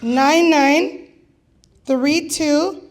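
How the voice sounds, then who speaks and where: A woman emceeing a gala and calling raffle numbers, with a raspy voice and a playful, teasing manner. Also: high voice, extremely slow. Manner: playful, teasing, jokey asides. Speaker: event host calling raffle draws. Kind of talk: live emcee banter at a gala.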